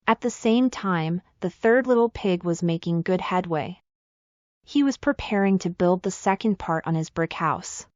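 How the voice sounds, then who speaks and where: A woman's voice: fairly low pitch, expressive, unhurried, no echo, muffled recording. A woman reading a scripted children's fairy tale as the storyteller.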